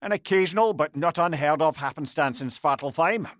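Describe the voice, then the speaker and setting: An actor with a Scottish accent voicing a wry, eloquent old talking-head companion in a video game. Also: male voice, a little high, brisk, fairly bright tone, dry recording, studio quality, natural voice. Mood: wry storytelling. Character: witty, talkative old male severed-head companion, wise and wry. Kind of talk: video game character acting.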